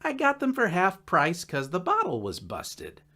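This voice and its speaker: A man's voice: sits high, huge pitch swings, measured pace, pushed and bright, voice pushed up, full character voice. Man doing a slack, drawling college stoner dude. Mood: offhand, casual. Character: laid-back druggie college guy, young man, dismissive.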